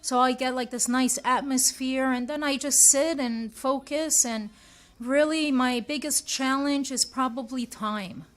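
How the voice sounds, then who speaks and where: A woman's voice: sits high, steady tone, unhurried. A woman from Switzerland, a guest artist, chatting casually in an interview and thinking aloud as she answers.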